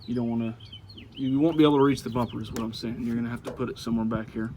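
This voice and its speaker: A man's voice: medium pitch, rapid-fire, a little hiss or hum. A man giving a casual, folksy how-to walkthrough of a truck install outdoors, with frequent "uh" fillers. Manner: relaxed, lots of "uh" fillers, folksy. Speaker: truck owner showing an install.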